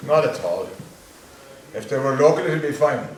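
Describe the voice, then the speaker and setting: A man's voice: mid-range voice, expressive, moderate pace, natural voice. A man voicing a suspicious, cursing Irish fisherman who mutters sullenly, with an Irish accent. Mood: surly. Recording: echoey, distant from mic.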